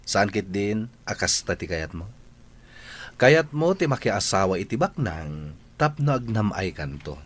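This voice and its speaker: A man acting a teasing, evasive young rich playboy in Ilocano radio drama, with an Ilocano accent and a husky voice. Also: on the low side, sing-song, measured pace. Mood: mocking, defiant.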